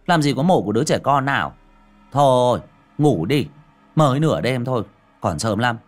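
A man voicing a brash, grumpy young village man who mocks his scared friend in a loud, fast, scoffing way. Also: mid-range voice, big pitch swings, soft background music, voice pushed up. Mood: mocking.

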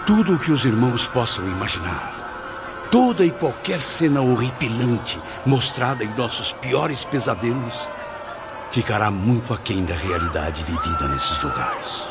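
A man as a tormented gravelly narrator. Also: medium pitch, lively intonation, a little slow. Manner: dramatic first-person storytelling. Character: tormented male suicide narrating his afterlife. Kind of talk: radio drama narration. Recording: dull lo-fi with loud music.

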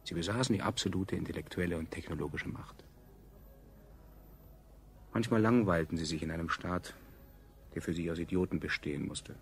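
A man playing a former operator slave, narrating a science fiction radio drama in a sober, measured, reflective way. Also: low voice, creaky, slight background noise. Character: former operator slave recalling his rulers' cold regime.

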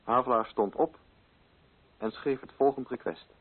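An actor as a measured, ironic male storyteller, giving formal, deliberate radio drama narration in a husky voice. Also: mid-range voice. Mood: measured, grave. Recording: muffled, phone-like old radio recording.

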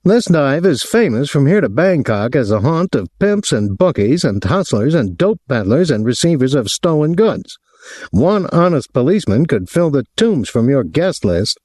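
A man voicing a brash, sardonic young army captain in an audiobook, blunt, wisecracking and quick to anger. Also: mid-range voice, big pitch swings, darkish tone, dry recording, clean studio sound, natural voice. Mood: angry. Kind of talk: audiobook character voice.

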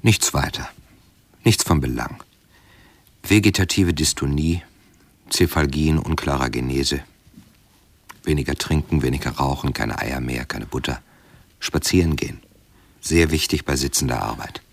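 An actor playing a pompous, dismissive medical professor in a radio drama, clipped and sententious. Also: male voice, a bass voice, a little slow, gravelly, long pauses, breathy edge, dry recording, exaggerated voice. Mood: brisk, dismissive. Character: pompous, dismissive male professor of medicine lecturing his patient. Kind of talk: 1970s German radio drama acting.

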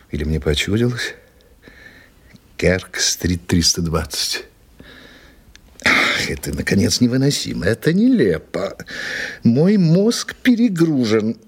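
A man's voice: lowish voice, husky, exaggerated voice. A man playing a fretful, self-dramatizing English mystery writer in a radio play, musing to himself theatrically. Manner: theatrical, musing, flustered and hesitant when surprised. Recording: music behind the voice.

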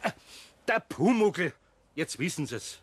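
A man's voice: a little high, sing-song, a little slow, slightly rough, full character voice. An actor playing a worried, good-hearted older craftsman with a Bavarian accent, grumbling and flustered in a radio play. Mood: flustered pleading. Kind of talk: German children's radio play acting.

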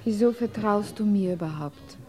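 An actress playing a proud, candid, unhappy wife in a German radio play, probing and serious in short, pointed phrases. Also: female voice, medium pitch, normal speed, a little mellow, soft background music, close to an everyday voice. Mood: challenging.

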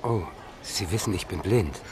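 A man's voice: low voice, normal speed, noisy background, natural voice. An actor playing a perceptive blind man questioned in a radio crime drama, phrasing his answers carefully and eloquently. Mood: wry, reserved. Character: perceptive blind male witness, measured and eloquent.

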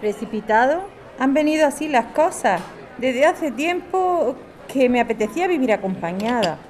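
A woman's voice: high-pitched, animated, moderate pace, a little mellow. A woman with a Spanish accent from Spain playing a resolute older mother in a radio serial. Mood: wistful. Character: warm, resolute mother starting life with a companion. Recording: crowd chatter behind the voice.

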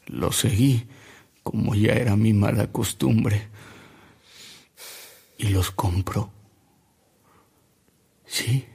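Radio drama, reflective, weary Mexican narrator: slow, measured, heavy rasp, dramatic pauses. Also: male voice, medium pitch, a little mellow, heavily acted. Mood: wry, tender. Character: weary, reflective man recalling a saintly bishop's deeds.

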